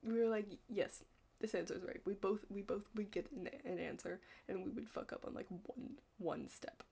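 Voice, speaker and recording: A young woman chatting casually in an ASMR study roleplay, rambling and hesitant, hushed and slipping into whispers. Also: mid-range voice, fairly even intonation, moderate pace, vocal fry, husky. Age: young adult. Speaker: ASMR creator playing a study partner.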